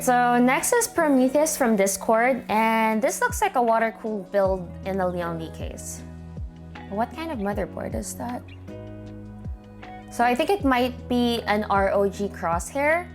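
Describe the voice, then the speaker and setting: A woman with a Filipino accent, hosting and chatting casually as she looks over viewers' setups. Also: medium pitch. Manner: chatty, upbeat, thinks aloud. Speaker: host reviewing viewer gaming setups. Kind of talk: casual commentary.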